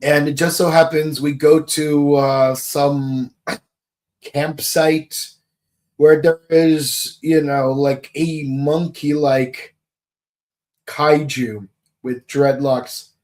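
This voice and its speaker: A man co-hosting a podcast, chatting casually and joking as he rambles and thinks out loud. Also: fairly high pitch, flat delivery, drawn-out words. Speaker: podcast co-host. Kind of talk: casual chat about a TV series.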